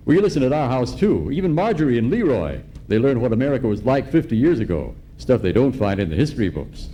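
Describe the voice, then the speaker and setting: A man's voice: mid-range voice, very animated, fast talker, dark tone, a little hiss or hum, natural voice. A man playing a pompous, blustery middle-aged man in an old-time radio comedy, live on stage. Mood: jovial. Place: live on stage in a large hall.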